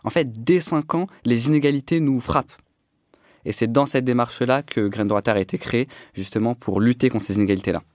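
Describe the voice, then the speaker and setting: A man's voice: medium pitch, a little fast, a little mellow, no echo, clean studio sound. A man whose first language is not French, a public speaking trainer explaining his work earnestly as a podcast guest, with many fillers and restarts. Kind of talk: podcast discussion.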